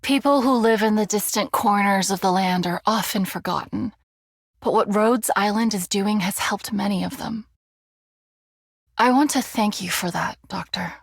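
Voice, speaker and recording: An actress voicing an earnest, gentle, grateful woman in a video game, with a husky, creaky voice. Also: mid-range voice, moderate pace, dry recording, clean studio sound. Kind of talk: scripted game character lines.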